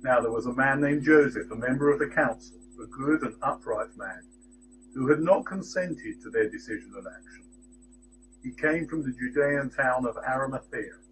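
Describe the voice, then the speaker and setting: A middle-aged man reading a Bible passage and giving a church reflection, in a measured, deliberate way, lifting his voice on the quoted words, recorded at close range on a webcam in a small room. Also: medium pitch. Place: small indoor room with shelves. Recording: steady electrical hum behind the voice.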